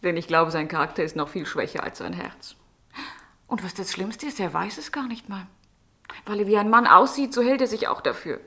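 An actress playing a young, charming, witty lady-in-waiting in a German radio drama, teasing, ironic and sharp-tongued. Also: medium pitch, a little fast. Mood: mocking, scornful. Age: young adult.

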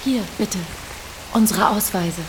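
An actress in a German radio drama playing a polite, uneasy farmer's wife, accommodating but cautious. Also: female voice, medium pitch, slow pace, background noise, lightly acted. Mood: welcoming, polite.

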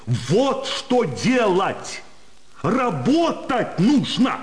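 A pompous, moralising gentleman in a radio play. His high male voice is slow and emphatic, swooping with indignation as he scolds, over light music. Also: very animated, exaggerated voice. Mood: angry, scolding. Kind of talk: dramatised literary radio play in Russian.

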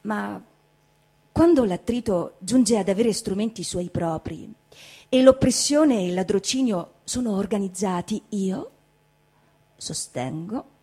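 Woman doing a formal measured reading, soft dark tone, bit raspy. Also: medium pitch. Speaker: stage reader. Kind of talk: formal reading of a political essay.

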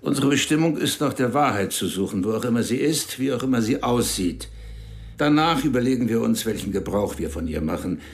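A man's voice: medium pitch, lively intonation, brisk, lightly acted. An actor playing a commanding male intelligence chief in a radio drama, briefing his staff with cool authority. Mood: lecturing.

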